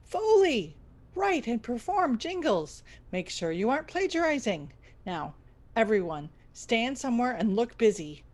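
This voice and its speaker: An actress playing a desperate, bossy radio station owner in an audio comedy, theatrical and commanding. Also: female voice, a little high, very animated, unhurried, a little mellow. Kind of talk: comedy audio drama acting.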